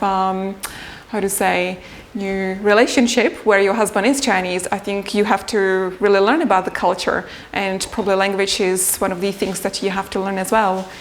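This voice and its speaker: A woman's voice: medium pitch, steady tone, normal speed, a little hiss or hum. Woman recalling things in a home interview. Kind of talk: documentary interview. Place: indoors in a home.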